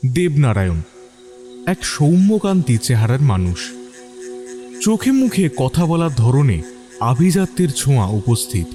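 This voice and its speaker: A man reading scripted narration for an audio story. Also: mid-range voice.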